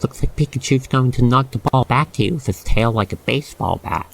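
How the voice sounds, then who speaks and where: Male roleplay narrator, gravelly creak, mid-range pitch, gentle scripted storytelling addressed to the listener, faint noise behind. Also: a little fast. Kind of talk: scripted second-person ASMR roleplay narration.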